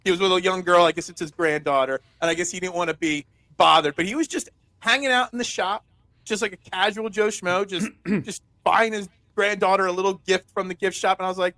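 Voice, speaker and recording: A man hosting a sports talk show, loud and chatty, telling rambling stories. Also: sits high, fairly quick, no echo. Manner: chatty, rambling storyteller with many restarts. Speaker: host. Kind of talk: casual sports talk interview.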